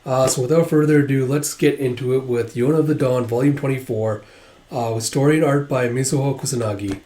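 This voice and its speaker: A man with a hoarse voice from a cold, hosting a manga read-through channel and chatting casually, rambling with many fillers. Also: mid-range voice, steady tone, fairly quick, warm tone. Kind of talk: casual chat to camera. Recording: roomy echo.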